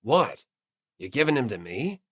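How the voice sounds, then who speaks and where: A woman's voice: very deep voice, big pitch swings, measured pace, breathy edge, no echo, studio quality, voice pushed down, full character voice. A woman voicing a blunt, manly, sincere teenage tough guy in an audiobook. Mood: surprised, awkward. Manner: blunt, emphatic. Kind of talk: audiobook character acting.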